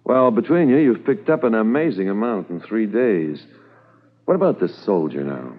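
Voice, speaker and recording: A senior police commissioner, lowish in pitch, courteous and measured. His authoritative lines come in 1950s radio style on a thin, tinny old transcription. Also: very animated. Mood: calm, inquiring. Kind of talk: 1950s radio drama acting.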